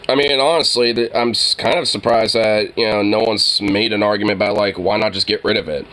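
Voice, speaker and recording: A man's voice: on the low side, fairly even intonation, fairly quick, a bit bright, low-quality recording. A man co-hosting a casual reaction chat, rambling with constant "you know"s and casual swearing. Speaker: co-host reacting to a video. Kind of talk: casual, profane banter.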